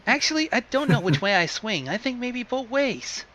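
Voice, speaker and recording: Man doing a bubbly high-pitched teen girl. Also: very animated, moderate pace, sharp tone, heavily acted. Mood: candid, playful. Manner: exclaiming, eager. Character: bubbly, impulsive, excitable teenage cartoon girl.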